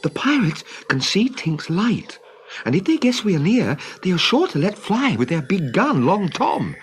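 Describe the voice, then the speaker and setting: A man's voice: sits high, sing-song, normal speed, a little hiss or hum, pitched above the performer's own voice. A man voicing a bold boy adventurer in a children's storybook, speaking with urgent emphasis. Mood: urgent warning. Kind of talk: dramatised children's storybook reading.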